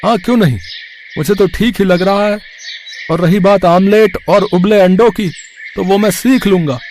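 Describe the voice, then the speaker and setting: A man voicing a gentle, hopeful village husband and father in a cartoon moral story. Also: high voice, moderate pace, a little hiss or hum. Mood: encouraging, hopeful.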